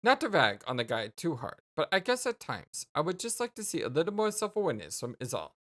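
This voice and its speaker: A woman's voice: sits low, very animated, fast talker, fairly bright tone, heavily acted. A woman voicing an oblivious, apologetic young man who rambles in wordy, old-fashioned folksy slang in a dramatic dialogue. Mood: chatty, oblivious.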